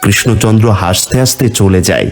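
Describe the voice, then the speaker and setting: A man's voice: low-pitched, brisk, faint music behind. A man narrating a scripted fairy tale as storyteller.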